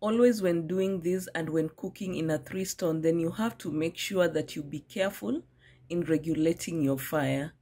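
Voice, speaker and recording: A woman's voice: low voice, moderate pace, very noisy. Young woman, cooking vlog voice-over. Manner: friendly, addresses viewers directly. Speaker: cooking vlogger. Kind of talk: voice-over narration of village cooking. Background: loud background music.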